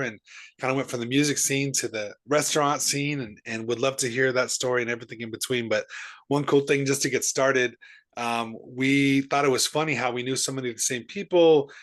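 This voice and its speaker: A man's voice: medium pitch, a bit bright. Friendly rambling middle-aged man hosting. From Seattle area, USA. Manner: friendly, rambling with frequent restarts. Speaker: podcast host. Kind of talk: casual conversational interview.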